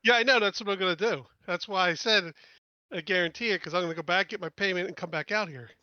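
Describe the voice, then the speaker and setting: A man's voice: high-pitched, fast, sharp tone, no echo, dull audio. A man playing a tabletop game, chatting and bantering casually as a player.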